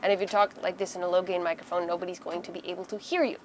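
A woman's voice: fairly low pitch, flat delivery, racing, a little hiss or hum. A woman in her thirties giving a casual, conversational how-to explanation inside a small boat cabin, using everyday examples. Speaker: host of a sailing how-to video.

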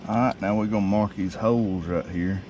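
A man, casually explaining a how-to job in a driveway with a relaxed, folksy manner and many fillers. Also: lowish voice, a little fast. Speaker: DIY video host. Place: outdoors in a driveway. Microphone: camera mic. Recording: distant outdoor camera mic with noise.

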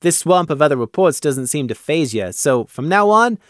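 A man voicing a dry, sardonic male sergeant with bat wings, talking deadpan. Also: on the high side, moderate pace, dry recording.

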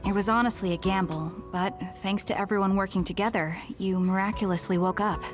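A woman's voice: mid-range voice, steady tone, fairly quick, natural voice. A young woman playing a composed, serious squad leader in an anime game. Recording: music behind voice.

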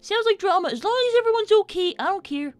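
Woman voicing an excitable, very high-pitched young boy fox. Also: fast talker, no echo, soft background music. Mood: insistent. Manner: chatty, earnest, excitable. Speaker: livestream host playing a game. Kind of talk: in-character casual game commentary.

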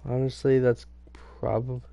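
A man's voice: mid-range voice, level tone, takes it slowly, gravelly, warm tone, no echo, highs cut off. Stuffy-nosed young man streaming a game. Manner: rambling, chatty, swears at enemies. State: stuffy nose, raspy voice. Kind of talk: casual gaming commentary.

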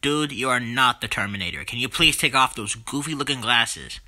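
Someone voicing a sarcastic, bright-edged sidekick brother. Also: medium pitch, normal speed, no echo, clean studio sound. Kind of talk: amateur comedic game parody voice acting.

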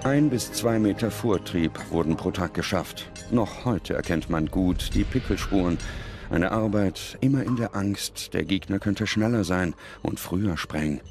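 A man's voice: sits low, unhurried. A man reading scripted documentary narration as the narrator. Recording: music under the voice. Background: music.

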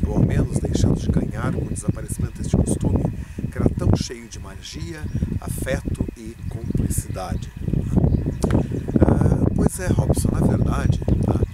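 A middle-aged man giving an informal answer to camera in a conversational way, with frequent check-in tags. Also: medium pitch. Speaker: storytelling consultant answering questions. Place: outdoors in open countryside by a field. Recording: distant mic, loud wind and outdoor noise.

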